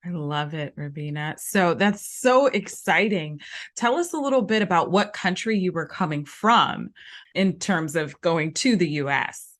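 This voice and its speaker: A woman hosts an interview and keeps affirming her guest with enthusiasm. Her voice sits fairly low. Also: animated, unhurried. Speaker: host. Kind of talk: hosting an interview.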